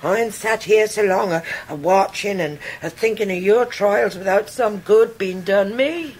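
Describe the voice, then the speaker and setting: An actress playing a forlorn, devoted elderly widow in a radio drama, plaintive and beseeching. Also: female voice, medium pitch, measured pace, darkish tone, slightly rough. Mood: pleading. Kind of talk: 1990s radio drama acting.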